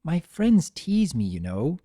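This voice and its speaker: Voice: medium pitch, very animated, slow, mellow, no room sound, studio quality. A man voicing a young female unicorn servant in a story reading, a naive, gossipy pony with a girlish, chattering manner. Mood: confiding.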